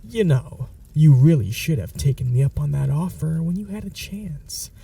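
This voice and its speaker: A man's voice: on the high side, animated, moderate pace, dark tone, far from the mic, heavily acted. A man voicing a menacing, possessive male vampire in an ASMR audio roleplay, speaking in a whispery, mocking, threatening way. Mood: taunting. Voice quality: whispery, gravelly.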